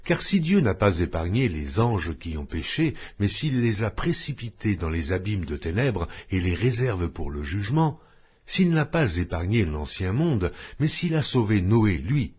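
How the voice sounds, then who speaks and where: A deep, husky male voice reads scripture in a measured, solemn way. His pitch moves widely, lifting and dropping through each phrase. The sound is dull and lo-fi. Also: sing-song. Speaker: Bible reader.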